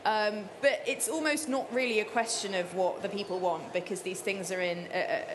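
A woman's voice: medium pitch, moderate pace. Thoughtful woman author, noisy room. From Cyprus. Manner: thoughtful, with many fillers and restarts. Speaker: author guest. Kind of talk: reflective interview answers.

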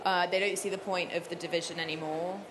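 A woman's voice: on the low side, fairly even intonation, fast, background noise. A woman author answering interview questions thoughtfully, with many fillers and restarts. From Cyprus. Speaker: author guest.

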